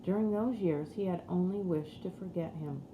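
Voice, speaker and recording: A woman's voice: lowish voice, normal speed, narrow, tinny audio. A woman reading a storybook aloud as scripted narration. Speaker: audiobook reader. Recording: roomy echo with faint background noise.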